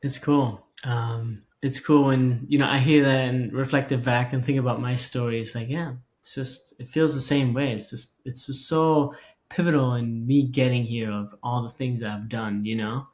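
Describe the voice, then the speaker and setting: A man from Germany, hosting a casual interview in a halting, reflective way. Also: mid-range voice, steady tone, a little mellow. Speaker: podcast host.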